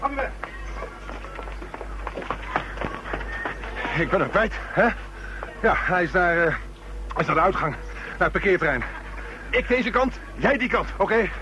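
Animated policeman, highish voice. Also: moderate pace, long pauses, over music. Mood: urgent. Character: brisk policeman and homely dog-loving bachelor. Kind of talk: radio drama acting. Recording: dull, noisy radio drama recording.

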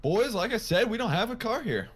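A man's voice: sits high, sing-song, fairly quick, crowd noise. A man playing an evasive, unruffled young Southern farm boy in comic game roleplay, stalling and acting innocent.

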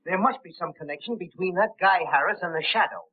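High, husky 1930s movie henchman. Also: fast, phone-quality sound. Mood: puzzled. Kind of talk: 1930s film acting. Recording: thin, band-limited 1930s film soundtrack.

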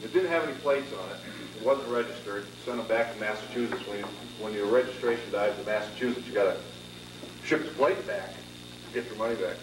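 A man's voice: fairly low pitch, quick pace. A man on a panel telling a rambling, easygoing story in casual chat. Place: indoor panel room. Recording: echoey room from far off with background noise.

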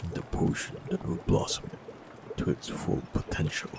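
A man voicing a warlock in an audio roleplay, talking calmly and reassuringly to the listener. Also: normal speed, noisy background. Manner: calm, gentle, reassuring.

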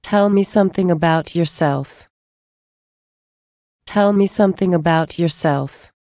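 Woman reading english practice lines real slow, dark mellow voice, dry close sound. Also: on the low side, no echo. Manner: measured, repeats each phrase slowly. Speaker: English teacher reading example phrases. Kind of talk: slow scripted phrase reading.

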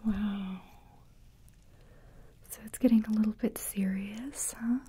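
Female ASMR hair stylist: close, breathy whisper, mellow tone, reassuring small talk, slight background noise. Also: mid-range voice, fairly even intonation, takes it slowly. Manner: gentle, reassuring, chatty with the client. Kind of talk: whispered ASMR salon roleplay. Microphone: close ASMR microphone. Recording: faint noise, small mic bumps and clicks.

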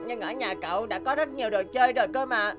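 A woman voicing an earnest, easily indignant schoolboy in a cartoon, expressive and plaintive. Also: a little high, quick pace, natural voice. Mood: pleading. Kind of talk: animated cartoon dialogue. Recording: background music under dialogue.

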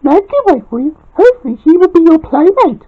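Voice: extremely high pitch, very animated, brisk, narrow, tinny audio, voice pushed up. An amateur storyteller voicing a kind, helpful cartoon schoolgirl, eager and full of advice. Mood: kindly advising.

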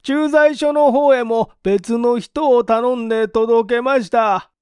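Panicked rustic farmhand, high and drawn-out. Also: male voice, clean tone, no room sound, clean studio sound. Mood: urgent, breathless reporting. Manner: drawn-out shouting in rustic dialect. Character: panicked rustic farmhand reporting a death to his master. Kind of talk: audiobook character voice.

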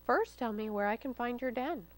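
Storybook heroine: woman as a plucky little female pig, confident and high-pitched, recorded dry. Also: lively intonation, normal speed, no echo, natural voice. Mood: cunning, firm. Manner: confident, sassy. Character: plucky, quick-witted young female piglet, small and bold.

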